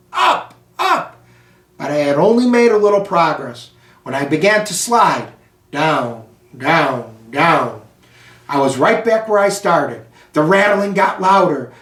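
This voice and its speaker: Male storybook reader for children, theatrical and swooping in pitch, heard in an echoey room. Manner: theatrical, dramatic emphasis on action words. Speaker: school superintendent reading aloud to pupils. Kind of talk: expressive children's storybook narration. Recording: roomy echo, distant microphone.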